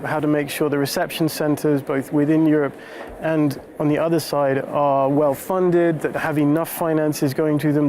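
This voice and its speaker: A man making a deliberate, explanatory argument as a panelist in a debate. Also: on the high side, a little mellow, a little hiss or hum.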